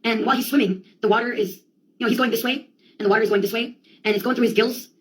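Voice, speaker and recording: Fast-talking, theatrical woman storyteller. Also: a little high, steady tone, rough recording. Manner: sweary, theatrical, slangy delivery with quoted outbursts. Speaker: monologuist. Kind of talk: rambling comic storytelling monologue.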